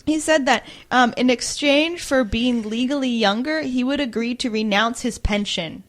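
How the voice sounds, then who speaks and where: A woman's voice: a little high, moderate pace. A woman co-hosting a casual news podcast, reading out stories and quotes and laughing often. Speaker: podcast co-host.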